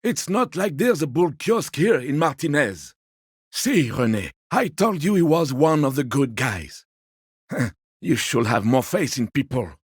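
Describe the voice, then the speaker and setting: An actor voicing a gentle, sociable elderly man in a video game, courteous and wistful, teasing softly and taking his time. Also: a little high, sing-song, unhurried, slightly rough, dry recording, studio quality, exaggerated voice. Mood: teasing, exasperated. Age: 70s or older. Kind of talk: video game character dialogue.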